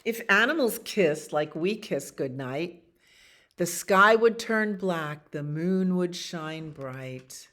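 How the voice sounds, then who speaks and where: A woman with a low voice reads children's bedtime stories at a very slow, unhurried pace. Her pitch moves in lively swings as she tells the tale, and she drops into casual asides about the pictures. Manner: expressive reading with acted animal sounds and pauses to comment on pictures. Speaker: children's storytime reader at a library.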